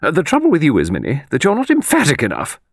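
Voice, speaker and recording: A man voicing an autocratic, sharp-tongued old lady with a brisk, emphatic, commanding manner in an audiobook. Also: a little high, huge pitch swings, gravelly, no room sound, studio quality, voice pushed up, exaggerated voice. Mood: sharp, decisive. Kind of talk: audiobook character voice.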